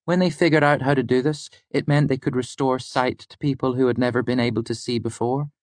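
A man voicing a clever, determined female student in an audiobook, explaining in a measured, insistent way. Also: medium pitch, steady tone, no echo, pitched above the performer's own voice, natural voice. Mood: earnest, insistent. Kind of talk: audiobook character dialogue.

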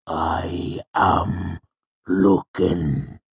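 A man voicing a stupefied, vacant mercenary in a storybook, with slow, thick, halting speech. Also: low-pitched, flat delivery, vocal fry, husky, heavily acted. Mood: dazed, vacant. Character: slow, vague, dazed mercenary, not human, stupefied.

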